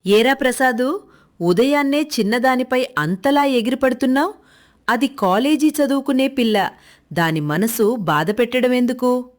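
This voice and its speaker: A woman playing a scolding, protective old village mother in an animated story. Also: high voice, measured pace.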